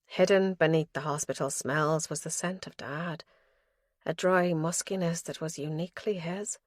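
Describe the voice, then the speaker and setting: A woman's voice: sits low, steady tone, normal speed, dry recording, clean studio sound. A woman reading scripted narration as the narrator of a mystery audiobook.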